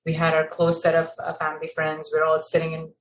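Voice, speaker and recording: A woman's voice: low voice, completely flat delivery, fast, a little hiss or hum, low-quality recording. A woman in her thirties from Kuwait, telling her life story in a podcast interview with many fillers and restarts. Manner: conversational, many "you know" fillers and restarts. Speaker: interior designer, guest.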